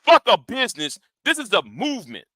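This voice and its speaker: A man with a high voice and a hard, sharp edge, ranting in a commentary vlog. He swears freely, stumbles over repeated words and talks straight at his critics. The sound is dry and close. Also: huge pitch swings, brisk, no echo. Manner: confrontational and profane, repeats and restarts words; challenging his audience directly. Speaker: YouTube commentator.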